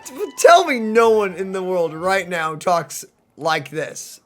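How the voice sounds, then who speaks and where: Let's play commentator: reactive, chatty, very lively intonation, game music underneath. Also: medium pitch, takes it slowly. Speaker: gaming channel host.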